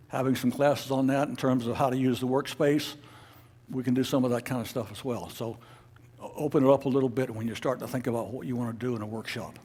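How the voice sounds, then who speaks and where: A man presenting informally at a club meeting, halting and rambling, with frequent uhs and false starts. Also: medium pitch, dark tone. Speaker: amateur radio club officer presenting at a meeting.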